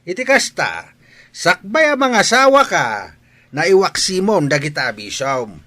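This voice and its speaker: A man's voice: sits high, very animated, measured pace, bright, forceful tone, no room sound, full character voice. A man playing a stern, scolding father of a grown son, lecturing in a radio drama. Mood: admonishing. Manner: admonishing, lecturing.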